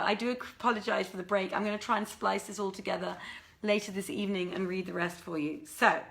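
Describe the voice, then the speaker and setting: A woman with an expressive, courteous manner, working as a live story reader with theatrical, carefully phrased delivery. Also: mid-range voice, vocal fry. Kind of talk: theatrical storybook reading and informal livestream talk.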